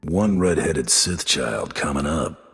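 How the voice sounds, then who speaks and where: A stern, honorable alien bounty hunter for a video game. The voice is extremely low, gravelly and badly rasped, with terse, dry delivery and a slight hall reverb. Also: male voice, lively intonation, takes it slowly, a bit bright, full character voice. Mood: dry, wry. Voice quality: gravelly, husky.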